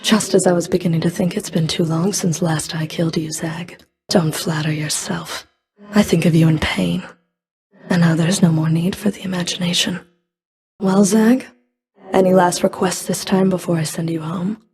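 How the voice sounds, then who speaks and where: A woman's voice: on the low side, steady tone, moderate pace, a little mellow, lightly acted. A woman playing a stern, sardonic underworld Fury in a video game, speaking in a cool, dry, clipped way. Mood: cold, threatening. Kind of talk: video game character voice acting.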